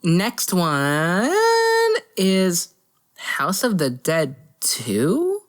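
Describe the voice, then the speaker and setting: Woman podcaster with a lowish voice. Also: sing-song, very slow. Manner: blunt, profane. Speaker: podcast co-host. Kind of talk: casual chat about movies.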